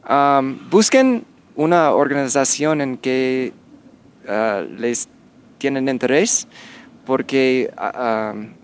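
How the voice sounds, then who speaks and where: A middle-aged man chatting as a guest, giving advice in a halting way with many fillers. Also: mid-range voice, smooth. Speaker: guest, expat parent. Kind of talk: casual interview chat.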